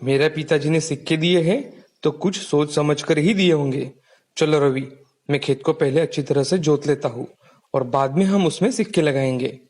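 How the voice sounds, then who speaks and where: A man playing a trusting, good-natured farmer father in an expressive, earnest storybook style. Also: a little high, normal speed, natural voice. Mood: earnest, gentle. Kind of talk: dramatized Hindi folk tale dialogue.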